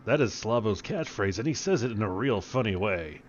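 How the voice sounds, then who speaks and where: A man's voice: fairly low pitch, expressive, moderate pace. A man narrating a comic script as a mock-serious, theatrical dramatic reading, in a slightly muffled recording. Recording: slightly dull, muffled sound.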